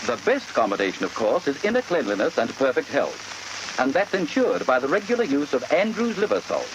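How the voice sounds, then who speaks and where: Male commercial announcer, medium pitch, lively intonation, scripted vintage ad, heavy hiss. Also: brisk. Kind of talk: scripted old-time radio advertisement. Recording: very noisy, hissing old radio recording.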